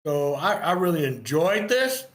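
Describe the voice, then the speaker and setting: High-pitched man giving informal critique. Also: very animated, a little slow, a bit bright. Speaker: participant giving script feedback. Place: online group meeting.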